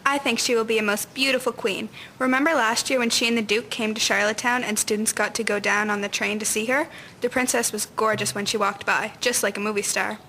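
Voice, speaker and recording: Female actor as quick, bright gushing schoolgirl. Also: on the high side, steady tone, slight background noise. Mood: enthusiastic. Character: gushing, confident 1950s schoolgirl. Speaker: actor in an amateur history drama. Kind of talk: scripted amateur audio drama.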